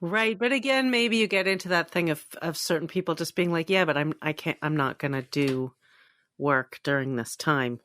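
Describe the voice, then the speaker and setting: A woman's voice: deep voice, moderate pace, a bit bright, dry recording. A woman from Toronto, Canada, co-hosting a podcast and chatting casually about entertainment news. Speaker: podcast co-host.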